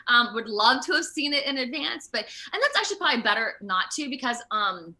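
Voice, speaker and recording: An American woman chatting casually as an interview guest, telling stories in an animated way. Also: on the high side, a little fast. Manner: animated storytelling, laughs often, acts out moments. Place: remote video call.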